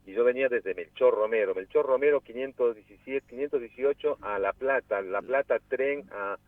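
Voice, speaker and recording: A man's voice: medium pitch, moderate pace, no room sound. A man around sixty who grew up in Peru, an actor speaking as a guest in a radio interview, reflective and repeating words as he searches for them. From Peru, raised in Cusco. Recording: tinny phone line, dry.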